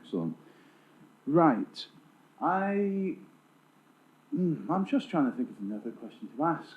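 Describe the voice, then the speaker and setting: A man's voice: on the high side, sing-song, unhurried. A man interviewing in an unscripted, joking style, teasing and bumbling as he goes, with muffled phone-like sound.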